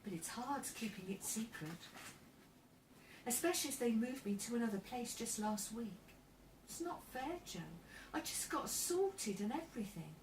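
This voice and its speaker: A woman voicing a sad, shy, gentle ten-year-old girl with a plaintive manner in a storybook reading. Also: a little high, animated, moderate pace, voice pushed up, lightly acted. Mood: glum, plaintive. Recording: roomy, distant mic, faint background noise.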